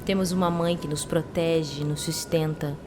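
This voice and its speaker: A woman playing a seductive, sassy mermaid in an audio drama, speaking in a soft, delicate, beguiling way. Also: low voice, steady tone, moderate pace, noisy background, close to an everyday voice. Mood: intense, persuasive.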